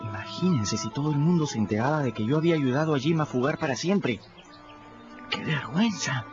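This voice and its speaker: A man's voice: expressive, a little fast. A man narrating in first person for a radio drama, earnest and reflective. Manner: earnest, reflective, rises in pitch when moved. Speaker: narrator voicing a boy. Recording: muffled radio audio with music.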